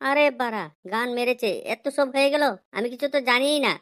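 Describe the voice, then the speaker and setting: A woman voicing a concerned young male village friend in an amateur comedy cartoon, familiar and inquisitive, with artificially raised pitch. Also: brisk, a bit bright, clear, resonant tone. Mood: concerned advising. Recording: music under the voice. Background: music.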